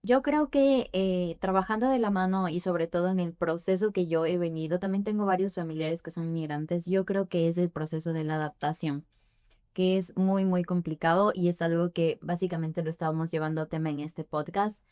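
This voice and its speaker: A woman's voice: fairly low pitch, fairly even intonation, moderate pace, darkish tone, clear, resonant tone, no echo, clean studio sound. A young adult woman from Ecuador, a guest in a podcast interview, answering thoughtfully with frequent hesitations. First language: Spanish. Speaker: immigration forms preparer, guest.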